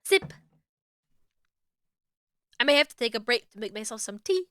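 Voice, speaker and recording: Goofy woman streamer joking around, high bright voice, really clear and dry. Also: lively intonation, normal speed, no echo. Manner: playful, laughing, goofy. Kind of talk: playing and reading a game aloud with commentary.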